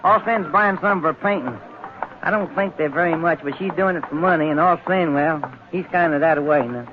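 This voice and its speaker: An actor playing a brash, folksy Texan sidekick with a drawling backwoods way of talking, in 1940s radio drama style. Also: male voice, fairly high pitch, a little fast, lightly acted. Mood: cheerful, smitten. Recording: noisy, muffled old radio recording.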